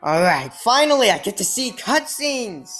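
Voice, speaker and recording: A woman's voice: on the high side, sing-song, moderate pace, a bit bright, soft background music. A woman giving casual, sarcastic commentary over a game, reading its dialogue aloud. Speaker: gameplay commentator. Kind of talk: casual let's play commentary.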